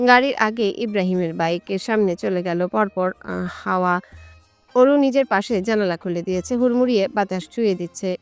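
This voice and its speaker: A woman's voice: medium pitch, clean tone, dry recording. A woman reading a scripted romantic story as its narrator.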